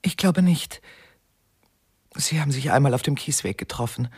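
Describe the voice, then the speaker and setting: A woman playing a detached, weary widow giving testimony in a German radio crime comedy, halting and hesitant in her delivery. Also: darkish tone, dry recording, studio quality, full character voice. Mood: detached, flat. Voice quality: husky, creaky, low for a woman. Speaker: witness being questioned.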